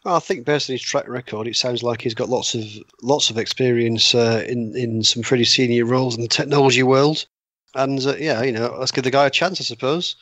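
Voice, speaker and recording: A man with a mid-pitched voice chats casually on a podcast panel. His sound is close and free of room echo. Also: fairly even intonation, fairly quick, fairly bright tone. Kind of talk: casual discussion.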